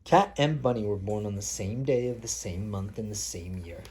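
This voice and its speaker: A man reading picture books aloud as a father at bedtime, playfully pausing to chat with his child. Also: on the low side, lively intonation, darkish tone, slight background noise. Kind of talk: read-aloud picture book storytelling mixed with casual chat.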